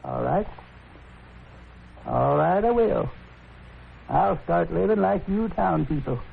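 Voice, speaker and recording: Bitter old plainsman, creaky voice. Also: fairly high pitch, expressive, slow, heavily acted. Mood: defiant threat. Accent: American. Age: older. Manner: aggrieved, defiant, folksy. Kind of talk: 1950s radio western acting. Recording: tinny, noisy 1950s radio recording.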